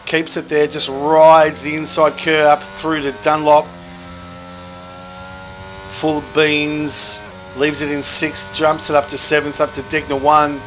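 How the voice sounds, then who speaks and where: A man's voice: fairly high pitch, completely flat delivery, fairly bright tone, soft background music, rough recording. Cheeky man calling a sim race. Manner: brisk, cheeky and matter-of-fact. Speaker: commentator. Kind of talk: sim racing lap commentary.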